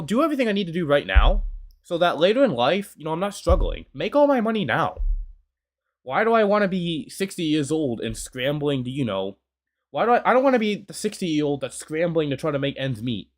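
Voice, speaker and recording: 20 yr old guy rambling thru a reaction vid, lots of "you know", voice kinda high and all over the place. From United States. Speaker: YouTube reactor. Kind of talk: casual reaction commentary.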